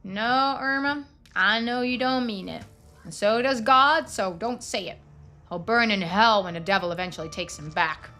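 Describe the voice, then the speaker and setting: A woman's voice: mid-range voice, normal speed, bright, forceful tone, soft background music. A woman voicing a stern, bitter, God-fearing small-town matron with a blunt, judgmental manner in a story game. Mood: stern, condemning.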